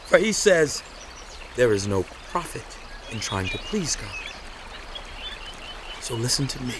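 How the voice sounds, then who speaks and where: An actor playing an earnest, righteous biblical orator in a dramatized audio Bible, speaking emphatically with deliberate, rhetorical pauses. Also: male voice, medium pitch, background noise. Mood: preaching, insistent. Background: music.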